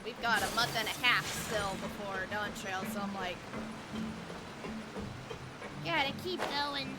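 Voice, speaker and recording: A woman's voice: mid-range voice, measured pace. A woman livestreaming a video game, chatting casually to her viewers over game music. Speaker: livestream host. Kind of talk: casual gaming livestream chat. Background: video game music and dialogue.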